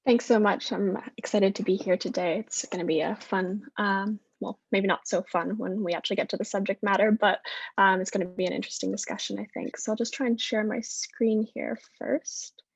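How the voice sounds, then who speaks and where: A woman with a Canadian accent presents over a video call. Her voice is husky, with a gravelly creak. The audio is dry and dull. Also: medium pitch, steady tone, brisk, no echo. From west coast of Canada. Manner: friendly, many fillers and self-corrections. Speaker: guest speaker, postdoctoral researcher. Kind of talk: online presentation talk.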